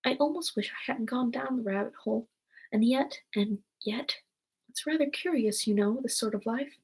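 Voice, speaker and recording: A woman's voice: fairly high pitch, normal speed, darkish tone, breathy edge, low-quality recording. A woman voicing a thoughtful little girl from a storybook, wondering and debating with herself. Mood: wistful.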